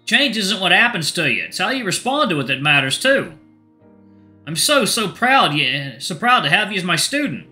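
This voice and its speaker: Caring male mentor, put on by the narrator, raised voice, gentle and proud, soft music behind. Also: sits high, big pitch swings, normal speed, pitched above the performer's own voice, heavily acted. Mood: tender, proud. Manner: gentle, encouraging, upbeat. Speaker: trainer of the narrator.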